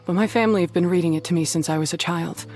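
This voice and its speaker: A woman's voice: on the low side, very fast, darkish tone, faint music behind, close to an everyday voice. A woman voicing a proud, bitter young rival in a video game, curt, defensive and sardonic. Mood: guarded, bitter.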